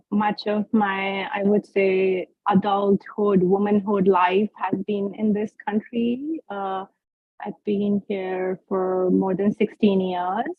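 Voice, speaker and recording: A woman from India, a poet, reading her poem and then answering questions in a slow, measured, reflective way. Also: mid-range voice, dry recording, narrow, tinny audio. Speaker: guest poet. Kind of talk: poetry reading and interview answers.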